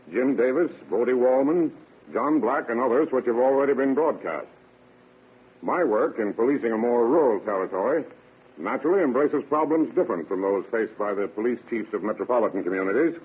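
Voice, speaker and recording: A man's voice: mid-range voice, husky. A man speaking as a sheriff, giving a stiff, formal scripted radio address to introduce a case. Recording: muffled, phone-like vintage radio broadcast.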